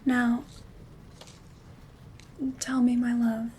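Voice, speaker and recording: A woman playing a gentle, caring witch herbalist in an ASMR roleplay, soft-spoken and often whispering in a soothing, intimate way. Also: on the high side, flat delivery, very slow. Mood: gentle, reassuring. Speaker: ASMR performer. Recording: soft rain and handling noise. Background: rain sounds, craft rustling.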